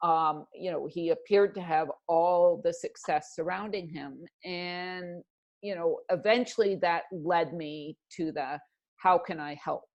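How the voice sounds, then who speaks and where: A middle-aged woman speaks as an interview guest in a reflective, conversational way. Her voice sits a little low. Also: a little slow, darkish tone, highs cut off. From Canada. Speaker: interview guest, executive coach.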